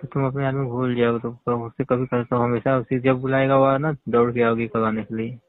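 A man's voice: medium pitch, little pitch movement, brisk, no echo. A man on a phone call, talking casually and insistently, often pressing with repeated questions. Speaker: phone caller. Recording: tinny phone-line call recording.

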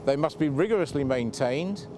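A man's voice: fairly high pitch, lively intonation, normal speed. A middle-aged man giving a prepared expert explanation to camera. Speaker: expert, head of a laboratory unit. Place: outdoors on a city rooftop. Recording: clear outdoor city background noise.

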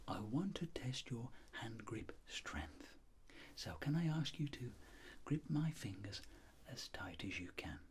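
A middle-aged man whispering as a doctor in an ASMR roleplay, giving gentle, polite step-by-step instructions. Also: mid-range voice, big pitch swings, a little slow, husky. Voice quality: soft whisper. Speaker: ASMR performer playing a doctor.

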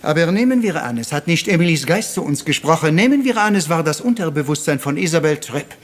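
A little Belgian detective with a fairly high voice. He is formal and courteous, dropping French interjections as he patiently probes with questions. The style is radio crime drama. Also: lively intonation, fast. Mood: probing.

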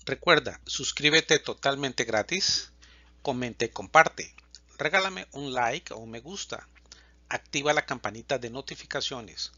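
Slow, bright-toned man teaching Spanish. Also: medium pitch, expressive, no room sound, muffled recording. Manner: reads questions and answers slowly and distinctly, pausing between lines. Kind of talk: slow, clear language lesson reading example dialogues.

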